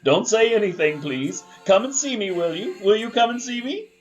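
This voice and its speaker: An actor playing an exuberant, reformed old miser in a theatrical family radio drama, exclamatory and effusive. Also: male voice, high-pitched, sing-song, moderate pace, soft background music. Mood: warm, eager. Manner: exclamatory, effusive, chuckling, repeats himself. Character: old miser reborn as giddy, exuberant, warmhearted gentleman.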